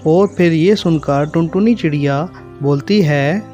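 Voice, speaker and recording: A man's voice: fairly high pitch, a little slow, mellow, faint music behind. A man reading scripted narration for a children's cartoon story.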